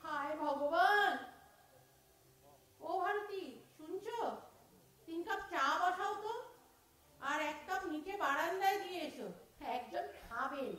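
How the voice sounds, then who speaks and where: A very high, lively voice belongs to an indignant middle-aged housewife who throws out theatrical exclamations, as a woman acts her in a radio play. She speaks slowly and deliberately. The voice sounds far off and echoes heavily around the room. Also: full character voice. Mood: astonished.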